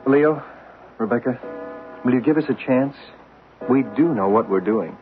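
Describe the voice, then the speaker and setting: A man's voice: mid-range voice, normal speed, over music, natural voice. A man playing an earnest, patient male psychic assistant in a 1970s radio drama. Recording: narrow radio broadcast with faint hiss.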